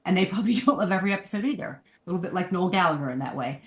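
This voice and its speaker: Female guest, casual conversational read, slightly low voice, noticeably roomy recording. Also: lively intonation, very fast. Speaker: podcast guest. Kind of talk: casual chat. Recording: roomy, echoing voice pickup.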